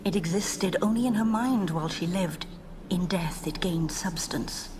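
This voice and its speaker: An actress playing a solemn spirit guardian in a fantasy game, grave and formal with archaic phrasing. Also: female voice, on the low side, measured pace, background noise, close to an everyday voice. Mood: grave, foreboding. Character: solemn female spirit guardian of a dream world. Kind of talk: dramatic video game acting.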